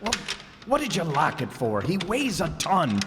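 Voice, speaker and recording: A man's voice: fairly high pitch, huge pitch swings, moderate pace. A man playing John, a grumbling, put-upon and exhausted husband, in an old-time radio comedy staged live in a reverberant hall. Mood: flustered. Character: John, a grumpy, exhausted middle-aged husband. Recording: live stage recording with long reverb and faint noise.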